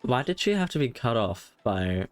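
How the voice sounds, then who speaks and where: A woman's voice: rumbling low, very animated, normal speed, faint music behind. A woman livestreaming a game, giving casual play-along commentary.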